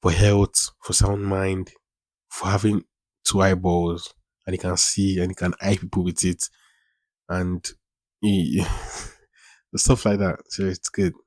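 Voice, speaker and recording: A man's voice: deep voice, level tone, takes it slowly, long pauses, a little mellow, no echo. A man in his twenties hosting a casual solo podcast, rambling, repeating phrases and using informal slang. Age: 20s. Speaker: podcast host.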